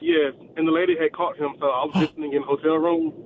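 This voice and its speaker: A man's voice: fairly high pitch, fairly even intonation, quick pace, a crowd in the background. Hesitant male caller on phone line. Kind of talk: phone-in story. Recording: tinny phone line with faint noise.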